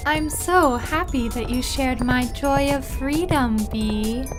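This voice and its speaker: High, slow, sugary woman. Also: music in the background. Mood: eerily sweet. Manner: sugary, inviting. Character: sweetly eerie, freedom-loving female dating-game character. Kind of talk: audio drama acting.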